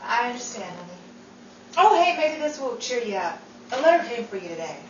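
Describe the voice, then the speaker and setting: A brash, outspoken young wartime gal with a slangy 1940s manner, played by a woman on stage. Her voice sits a little high and rings out into an echoey stage space, picked up from a distance with dull sound. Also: sing-song, fairly quick, slight background noise. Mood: gentle. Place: theater stage.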